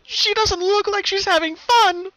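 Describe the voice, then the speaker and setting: A man voicing a terrified young female pony who cries out and pleads in a high, strained voice. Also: measured pace, pushed and bright, dry recording, full character voice. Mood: desperate protest. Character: terrified young filly, pleading desperately for her sister. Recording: dull, muffled home recording.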